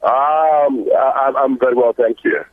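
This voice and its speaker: A man's voice: medium pitch, steady tone, a little slow, no room sound. A man from Zimbabwe, a human rights director, answering as a phone guest, hesitant with many fillers. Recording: tinny phone line.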